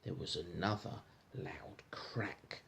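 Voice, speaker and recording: A man reading a storybook aloud to a child in an informal, encouraging, gentle way, with chatty asides. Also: low-pitched, steady tone, slow, creaky. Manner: encouraging, gentle, echoes the child's words back. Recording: home recording with faint background noise.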